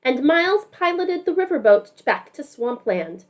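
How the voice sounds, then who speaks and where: A woman with a high voice leads a children's story time. She speaks slowly, in an encouraging teacher's manner. She moves between reading the storybook and asking the listeners simple questions. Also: sing-song. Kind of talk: storybook read-aloud with questions to a group of children.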